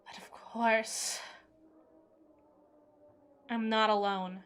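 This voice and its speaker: A woman's voice: fairly high pitch, little pitch movement, extremely slow, clean tone. A woman playing an unstable, brooding evil queen in an intense, theatrical audio roleplay, speaking in formal, dramatic monologue. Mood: grim, commanding. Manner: theatrical, formal, intense, swinging between hushed and forceful.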